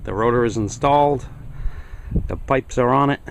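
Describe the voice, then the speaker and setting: A man's voice: medium pitch, moderate pace, creaky, slight background noise. A man casually narrating a hands-on hobby project in a plainspoken, explanatory way. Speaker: hobbyist presenter. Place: home workshop and outdoor driveway. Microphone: handheld camera, close to speaker.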